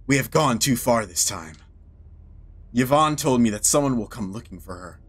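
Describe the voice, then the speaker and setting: A man reading a grim male cannibal killer's lines in a lowered, grave voice. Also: expressive, normal speed, fairly bright tone, slightly rough. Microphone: headset.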